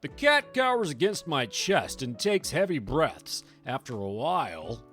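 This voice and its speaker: A woman streamer reading a visual novel aloud with expressive, dramatic storytelling. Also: sits low, measured pace. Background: game music.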